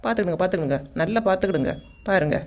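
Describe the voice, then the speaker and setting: A woman voicing a cheeky, sharp-tongued young daughter-in-law in a comedy cartoon, teasing and answering back in a Kanyakumari Tamil accent. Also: on the low side, expressive, very fast, vocal fry. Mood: resentful, cheeky.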